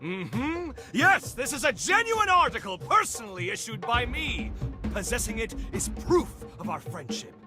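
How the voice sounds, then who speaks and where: An actor playing a pompous, self-satisfied eccentric gentleman in a video game, with theatrical, florid and genteel delivery. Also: extremely high pitch, sing-song, measured pace, over music, exaggerated voice. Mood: smooth, ingratiating.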